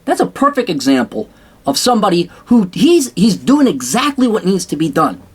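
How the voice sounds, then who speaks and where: A man's voice: sits high, huge pitch swings, moderate pace, husky. A man talking straight to camera in a blunt, sarcastic rant, swearing freely and rambling with restarts. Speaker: vlogger giving blunt career advice. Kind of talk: casual monologue to camera.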